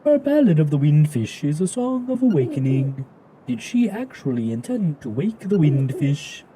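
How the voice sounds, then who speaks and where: A man's voice: fairly high pitch, big pitch swings, mellow, slight background noise, voice pushed up, rough recording. A man voicing a solemn, ancient owl guide in a video game, speaking in a portentous way. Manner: slow, portentous.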